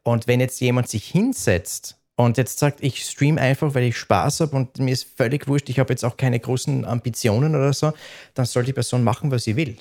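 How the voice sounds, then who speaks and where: Measured man with a dialect. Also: mid-range voice, a little mellow. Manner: sober, measured, explains at length with restarts. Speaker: streamer. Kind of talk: conversation.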